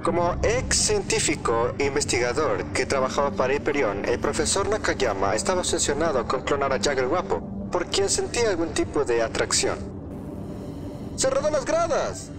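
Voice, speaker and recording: A man's voice: fairly high pitch, big pitch swings, moderate pace, over music. A man with a Latin American Spanish accent voicing a theatrical, macabre museum announcer, reading florid tour narration for a game dub. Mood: theatrical, presentational. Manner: florid, presentational.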